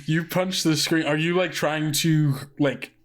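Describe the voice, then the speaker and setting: A game master leading a tabletop roleplaying game, narrating and reading rules in a relaxed, hesitant way with many fillers. The voice sits at a middling pitch. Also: measured pace.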